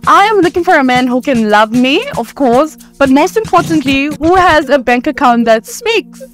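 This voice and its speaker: A woman in her twenties from South Africa introduces herself on a dating show. She speaks in a high voice with a confident, cheeky edge. Faint music sits behind her. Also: expressive, moderate pace. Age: 20s.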